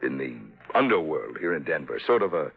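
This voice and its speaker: An actor playing an anxious, guilt-ridden older businessman in 1950s radio drama style, halting and pleading, with formal wording. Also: a bass voice, very animated, gravelly, breathy edge, soft background music, full character voice. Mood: grave, confessing. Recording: dull vintage 1950s radio recording.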